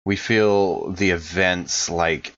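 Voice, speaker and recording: A man with a deep voice improvises his character's actions at a game table. He talks casually, like a player among friends. The recording is a little dull. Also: takes it slowly, a bit bright.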